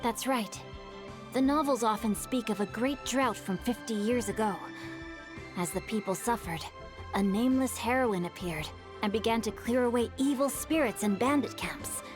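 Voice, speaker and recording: A woman's voice: a little high, moderate pace, close to an everyday voice. A woman voicing a polite, bookish young man in a video game, speaking in formal, thoughtful, storytelling phrases. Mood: thoughtful, narrating. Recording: game dialogue over background music. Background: game music.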